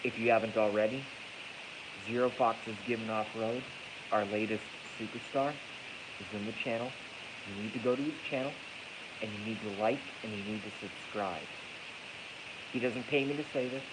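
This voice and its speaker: A man with a congested, stuffy voice delivers a casual, rambling livestream monologue, blunt and profane, repeating phrases for emphasis. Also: lowish voice. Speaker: livestream host. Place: echoey garage at night. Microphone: iPhone, moved closer during the stream. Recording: hissy noisy iPhone recording.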